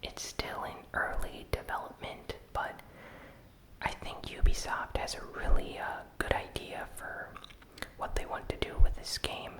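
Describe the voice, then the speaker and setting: Slow, breathy ASMR whisper. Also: poor audio. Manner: slow, soft, unvoiced whispering. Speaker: host of a gaming news channel. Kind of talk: whispered ASMR news recap.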